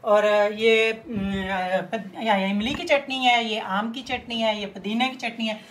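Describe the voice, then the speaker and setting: A middle-aged woman hosts a casual home vlog in a fairly high voice. She is recorded on a phone in an echoey room, with dishes and cutlery clinking in some noise behind her. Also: steady tone, measured pace. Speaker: cooking channel host, mother. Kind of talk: casual family vlog chat. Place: home kitchen and dining room. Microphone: handheld phone at some distance.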